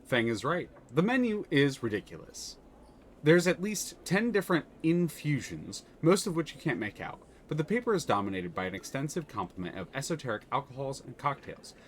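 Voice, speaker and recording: A man reading a game's narration aloud live, with animated delivery and quick self-corrections. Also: mid-range voice, a little hiss or hum. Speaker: game narrator.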